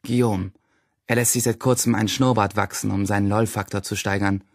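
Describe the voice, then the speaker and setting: A man's voice: on the low side, fairly even intonation, brisk, no room sound, clean studio sound, lightly acted. A man playing a wry, boastful teenage schoolboy in a radio drama, narrating his day in short clipped phrases. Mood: wry, bored. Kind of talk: first-person audio drama monologue.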